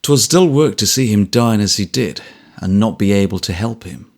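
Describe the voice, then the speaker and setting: A man's voice: lowish voice, animated, normal speed, gravelly, a little mellow. A man playing a kindly, troubled former trooper who talks earnestly and a little apologetically. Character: troubled ex-soldier, big, gruff and kindly.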